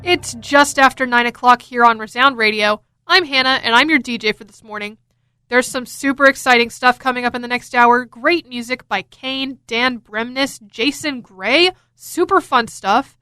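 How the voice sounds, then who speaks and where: A woman's voice: a little high, moderate pace, sharp tone, smooth, dry recording. A young American woman talking as a radio DJ in an upbeat, casual, chatty and enthusiastic way, close on a studio microphone. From Florida, United States.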